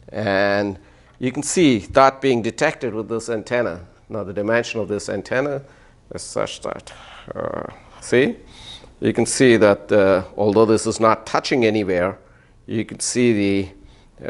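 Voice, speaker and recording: A man with a fairly low voice lectures through a hands-on demonstration as a professor. He explains step by step, pausing to find words and tagging points with brief check-in questions. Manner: explanatory, hesitant pauses, checks in with "right?" and "okay?". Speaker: physics professor.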